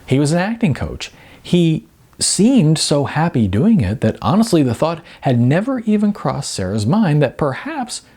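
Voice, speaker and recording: A man with a husky voice narrating a novel as scripted audiobook storytelling. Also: fairly high pitch, a little mellow.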